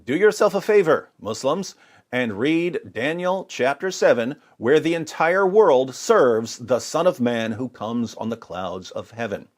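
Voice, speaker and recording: A man's voice: mid-range voice, animated, measured pace. A man giving polemical religious commentary with scripture readings, in an emphatic, sarcastic and theatrical way.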